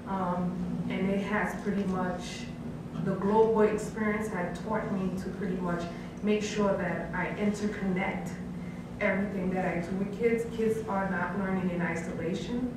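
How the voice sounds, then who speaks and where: A woman's voice: mid-range voice, fairly even intonation, normal speed. A woman of Haitian background, a family counselor on a panel, talking earnestly with many um fillers. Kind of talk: unscripted panel talk. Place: college event room. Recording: echoey room, distant mic, background hiss.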